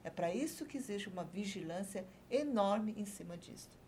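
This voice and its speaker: A woman doctor, a guest on a TV health talk show, explaining calmly and pausing to search for her words. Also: medium pitch, slight background noise. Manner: measured, searching for words, many fillers.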